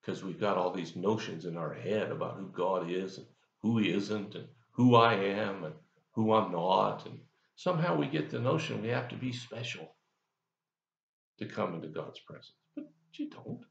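A man's voice: fairly low pitch, moderate pace, gravelly, echoey room, lo-fi sound. An older man giving a folksy, conversational devotional as a pastor, asking the listener questions, recorded on a webcam in his office. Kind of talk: devotional sermon. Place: office, indoors, seated in leather chair.

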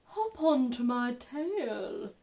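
A woman voicing a crafty storybook fox with drawn-out, coaxing offers. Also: high voice, sing-song, a little mellow, dull audio, heavily acted. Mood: sly coaxing.

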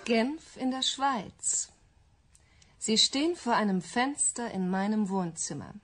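An actress playing a crook's blasé, chatty wife in a radio play, with a dry, offhand manner. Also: female voice, a little high, expressive, slow pace, soft background music.

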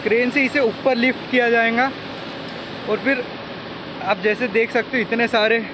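Vlog host: young male, very high register, inquisitive and casual, outdoors over machinery noise. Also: fairly even intonation, moderate pace. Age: young adult. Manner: inquisitive, repeats what he is told back. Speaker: vlogger, host. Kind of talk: casual on-location vlog commentary and questions. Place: outdoors in an open stone quarry. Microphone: handheld phone at arm's length.

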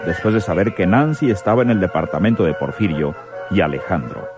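A man's voice: sits low, animated, a little fast. A man reading scripted narration for a radio soap. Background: music.

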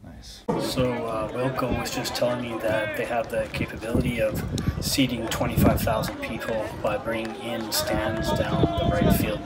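A man in his forties filming a casual travel vlog on a phone at arm's length, chatty and relaxed with jokey asides. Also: fairly low pitch, heavy background noise. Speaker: vlogger on a baseball road trip. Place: outdoors and indoors while traveling. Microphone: phone held at arm's length.

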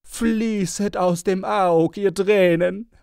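A man's voice: high-pitched, steady tone, slow, gravelly, a little mellow, smooth, no room sound, clean studio sound, pitched above the performer's own voice, exaggerated voice. A man voicing a melodramatic older widow, declaiming in tearful, theatrical grief for a storybook reading. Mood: tearful lament.

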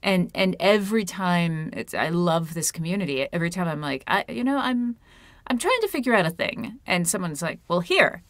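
A woman's voice: lowish voice, big pitch swings, moderate pace, dry recording. A woman in her thirties, an author and puppeteer, chatting as an interview guest over a video call with an earphone mic, talking in a loose, self-deprecating way full of fillers. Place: home room with a red curtain. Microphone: wired earphone mic on a video call.

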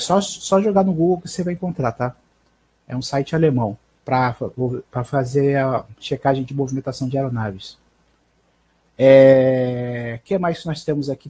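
A Brazilian man in his thirties with a husky voice, hosting a casual live news stream in a halting, informal way on a headset mic. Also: mid-range voice, a little mellow. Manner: halting, informal, frequent check tags. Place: small bedroom at home. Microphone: headset microphone.

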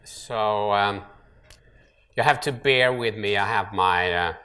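A middle-aged man from Sweden with a Swedish accent, an orthopedic surgeon giving a conference lecture at a podium microphone, relaxed, with many fillers and restarts. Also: fairly low pitch, slow. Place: large lecture hall with an audience. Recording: echoey lecture hall.

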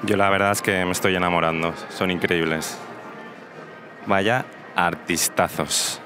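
A man's voice: low voice, fairly even intonation, a little slow. Enthusiastic man, music underneath. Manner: enthusiastic, addresses viewers directly. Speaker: vlogger. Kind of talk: walking tour vlog. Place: crowded indoor exhibition hall. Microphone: handheld mic held at the mouth.

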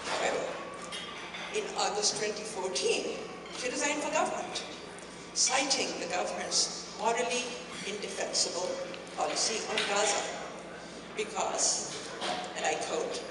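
A middle-aged woman giving a measured, declamatory formal introduction at a podium microphone in a banquet hall. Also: medium pitch, lively intonation. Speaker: speaker introducer. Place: large banquet hall, indoors. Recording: echoey hall, distant mic, noisy.

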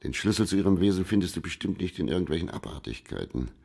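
An actor playing a terse, unsentimental private detective in a radio crime drama. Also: male voice, low voice, expressive, quick pace, creaky, darkish tone, breathy edge, heavily acted. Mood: dry, terse. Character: matter-of-fact hard-boiled private detective.

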